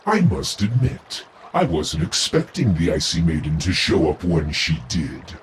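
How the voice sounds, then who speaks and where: A scheming villain in a game cutscene. His deep voice is slow and theatrical, with broad swings in tone and formal phrasing. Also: sing-song, noisy background. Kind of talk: video game villain acting.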